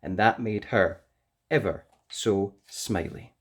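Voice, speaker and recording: A woman's voice: extremely low pitch, takes it slowly, background noise. A woman reading a children's story aloud expressively as a storyteller.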